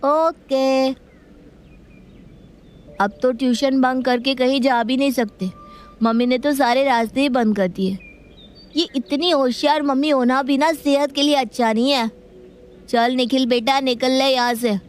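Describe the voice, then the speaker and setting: Woman as cheeky schoolboy, high voice, sly, pleading, animated story dub. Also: measured pace, long pauses, clear, resonant tone. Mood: sheepish. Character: cheeky, wheedling schoolboy outwitting his elders.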